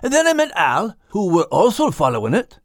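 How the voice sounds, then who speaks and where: A man voicing an earnest, warm-hearted little adventurer, an animal with a Scottish accent, in an audiobook. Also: sits high, wildly swooping pitch, normal speed, no echo, studio quality, voice pushed up. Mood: earnest, smitten. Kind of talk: audiobook character acting.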